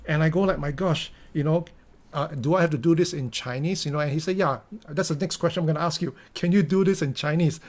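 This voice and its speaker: Singaporean guy, middle-aged, earnest motivational speaker, lots of you know and restarts, fairly high voice. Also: fast. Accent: Singaporean English. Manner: earnest, many fillers and restarts. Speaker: company founder giving a talk. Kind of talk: motivational talk.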